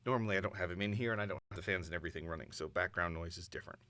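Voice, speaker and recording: A man's voice: low voice, rapid-fire, bright, forceful tone, no echo. A man chatting casually and off the cuff, as an amateur voice actor vlogging from a home recording space. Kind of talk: casual off-the-cuff vlog chat.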